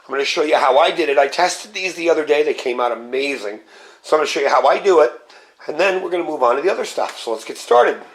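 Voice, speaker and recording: A man's voice: fairly high pitch, fast talker. Man with a scratchy voice demoing food. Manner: relaxed, explains step by step while handling gear. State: slightly scratchy voice from a cold. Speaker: channel host. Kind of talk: casual cooking demo vlog.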